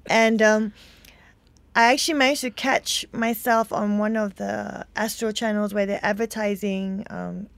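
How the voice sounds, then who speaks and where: A woman's voice: mid-range voice, steady tone, measured pace. A woman, an actress chatting bubbly in an interview. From Malaysia. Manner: bubbly, chatty, lots of fillers. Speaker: actress guest. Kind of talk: casual interview chat.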